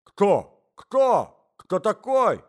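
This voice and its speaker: A man voicing a fussy, indignant old husband in a story, shouting, repeating himself and sputtering. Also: on the high side, big pitch swings, normal speed, pitched above the performer's own voice. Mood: panicked.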